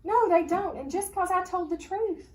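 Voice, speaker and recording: Woman playing an earnest, high-pitched schoolgirl. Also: moderate pace, darkish tone. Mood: troubled. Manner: folksy, ungrammatical Southern-style child talk. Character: earnest, blunt, sassy schoolgirl. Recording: noisy, distant microphone.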